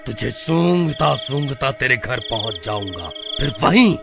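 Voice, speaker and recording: A vengeful skeleton ghost from a horror cartoon. The voice is gravelly and slow, full of threat and demand, with clear music playing behind it. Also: male voice, mid-range voice, lively intonation. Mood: threatening. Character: menacing vengeful male skeleton ghost from a well. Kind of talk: animated horror story acting.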